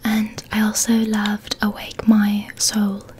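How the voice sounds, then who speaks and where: A young woman whispering softly and reassuringly into a close microphone in an ASMR roleplay. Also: mid-range voice, completely flat delivery, slow. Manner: soft whispering, gentle and reassuring. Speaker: ASMR creator.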